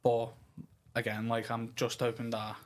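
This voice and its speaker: A man's voice: medium pitch, monotone, a little slow, a little hiss or hum. Candid man from Liverpool chatting casually. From Liverpool, England. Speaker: podcast guest. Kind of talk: casual personal chat.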